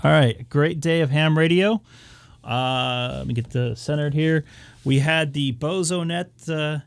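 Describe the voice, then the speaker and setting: A hoarse man from southern California, rambling through a casual wrap-up of his radio stream with many filler sounds. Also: fairly high pitch, measured pace, slight background noise. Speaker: ham radio live streamer. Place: home radio station.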